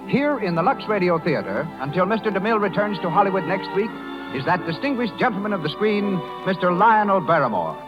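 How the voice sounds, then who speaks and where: A man announcing a 1930s American radio show and reading its ads in a formal, proclaiming manner, with an American accent. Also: sits high. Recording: muffled 1930s radio broadcast with music.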